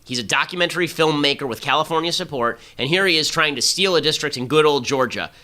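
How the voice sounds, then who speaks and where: A man hosting a political podcast, delivering rapid, emphatic, argumentative commentary. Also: a little high, lively intonation, bright, forceful tone. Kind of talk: fast political commentary and ad read.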